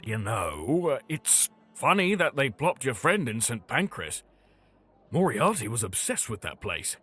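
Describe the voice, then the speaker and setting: A man acting a streetwise, gruffly kind ex-crook in an audio drama. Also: mid-range voice, big pitch swings, a little slow, fairly bright tone, soft background music, exaggerated voice. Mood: knowing, gruff.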